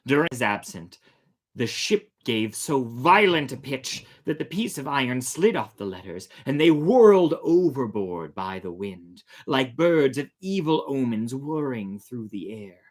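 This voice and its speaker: A man narrates a storybook tale with theatrical expression. His mid-pitched delivery is deliberate and dramatic, shaped like a reading of old prose and verse. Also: big pitch swings.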